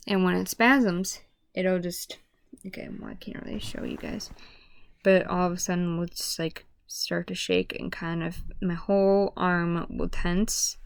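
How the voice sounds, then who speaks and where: A woman with a hoarse, deep voice that often drops to a whisper, talking casually to camera in a halting way. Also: no room sound. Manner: halting, with restarts and stutters. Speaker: vlogger.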